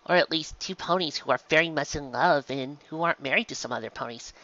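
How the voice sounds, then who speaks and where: A man voicing a gentle, guilt-ridden adult pegasus mare, a mother who talks softly and haltingly in a fan fiction audiobook. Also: fairly high pitch, muffled recording. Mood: awkward, regretful. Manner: halting, soft, apologetic.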